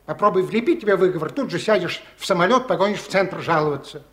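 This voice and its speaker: An actor playing a stern Soviet district boss in a radio play, questioning with authority and sarcasm. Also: male voice, high voice, fast, close to an everyday voice. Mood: sarcastic reprimand.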